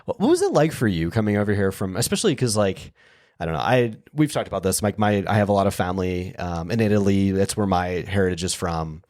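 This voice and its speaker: Fast, lively-voiced male podcast host. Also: deep voice, no echo, clean studio sound. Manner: enthusiastic, slangy, says "dude". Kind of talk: casual interview chat.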